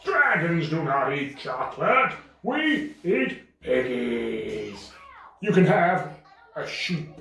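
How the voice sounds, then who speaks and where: A man's voice: on the high side, very animated, takes it slowly, gravelly, pushed and bright, full character voice. Man playing a bossy dragon dad, very echoey. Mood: greedy, gloating. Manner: scolding, exclamatory, swooping. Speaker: father performing a puppet show. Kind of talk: homemade children's puppet show. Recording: very echoey room, distant mic.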